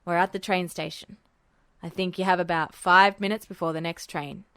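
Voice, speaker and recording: Storybook role: woman as gruff, protective man, pitched well down, smooth and blunt, close dry sound. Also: fairly even intonation, moderate pace, a bit bright, no room sound. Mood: brisk, kindly. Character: gruff, protective man helping a young fugitive.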